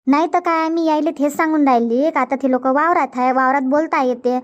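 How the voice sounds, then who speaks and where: A woman's voice: high-pitched, fairly even intonation, brisk, natural voice. A woman playing a shrewd, outspoken farmer's wife in a cartoon comedy, blunt and emphatic, with a Varhadi Marathi accent from Vidarbha. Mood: scolding. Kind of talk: dubbed cartoon comedy dialogue. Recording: dull lo-fi audio.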